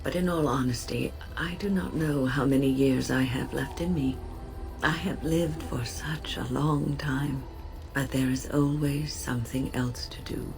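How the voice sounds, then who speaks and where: A kindly old female mage, weary yet dutiful. Her voice is very low and creaky, with gentle, unhurried phrasing, in game dialogue with music behind it. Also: fairly even intonation, low-quality recording.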